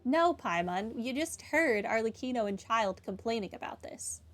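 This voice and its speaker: A woman's voice: fairly high pitch, expressive, moderate pace, a bit bright, a little hiss or hum. A woman playing through a story game, reading dialogue aloud and commenting casually. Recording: game music behind voice.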